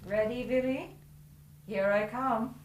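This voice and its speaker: An actress playing an audacious, playful young adventuress in a period radio drama, with a bold and teasing manner. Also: female voice, fairly high pitch, takes it slowly. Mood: coy, challenging.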